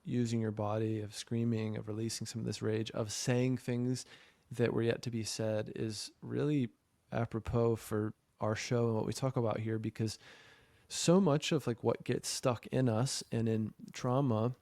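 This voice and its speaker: A man hosting an interview as a trauma therapist, reflecting on his guest's story in a measured, affirming way. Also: medium pitch, little pitch movement, mellow, dry recording. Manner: measured, affirming, frequent fillers. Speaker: podcast host, trauma therapist.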